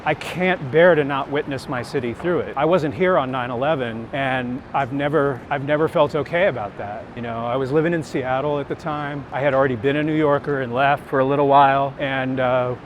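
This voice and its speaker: A man's voice: brisk, hardly any pauses. A middle-aged American man chatting casually and reflectively as he walks outdoors, candid and self-deprecating, telling anecdotes. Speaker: street photographer and workshop teacher being interviewed. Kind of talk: casual reflective chat while walking. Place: outdoors on city streets. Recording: outdoor city rumble and traffic noise. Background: urban rumble, vehicles.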